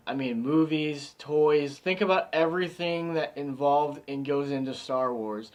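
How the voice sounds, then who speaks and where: Man, unhurried rambling review, eager, a bit hoarse, lots of fillers. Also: on the high side. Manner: enthusiastic, rambling with many fillers. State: slightly hoarse, losing his voice. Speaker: movie reviewer. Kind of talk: casual spoken movie review.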